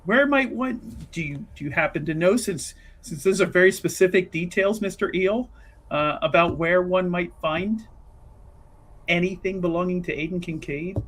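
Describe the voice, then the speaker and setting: Casting: blunt, devout female sleuth played by a man for tabletop fantasy, sarcastic and pressing, on the high side. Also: normal speed, a bit bright. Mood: skeptical. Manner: probing, sarcastic, salty-tongued. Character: blunt, sardonic, devout woman investigator, a Chantry sister.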